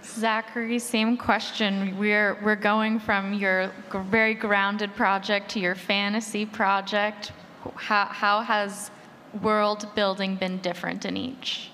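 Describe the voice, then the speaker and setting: Slow-paced woman moderating in a hall. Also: medium pitch, little pitch movement, sharp tone. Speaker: panel moderator. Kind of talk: putting questions to a panel. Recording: echoey hall, background noise.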